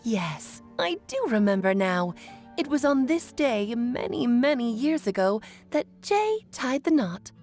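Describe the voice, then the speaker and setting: A woman's voice: a little high, big pitch swings, unhurried, darkish tone. An actress playing a breezy, gracious mother in a fantasy game, genteel and effusive, with music behind her. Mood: fond, festive. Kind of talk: animated game voice acting.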